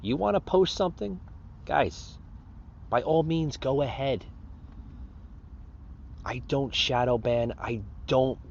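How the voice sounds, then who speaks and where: A man's voice: mid-range voice, slow, gravelly, pauses often, highs cut off, a little hiss or hum. An American man hosting a podcast in a casual, unscripted monologue, rambling and repeating himself as he addresses his listeners. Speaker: podcast host.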